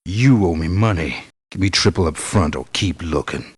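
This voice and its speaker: An actor playing a blunt, cynical, tough-talking mercenary in a video game. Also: male voice, deep voice, animated, normal speed, breathy edge, dry recording. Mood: blunt, grumbling. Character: gruff, blunt male mercenary, cynical about pay. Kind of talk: video game character voice lines.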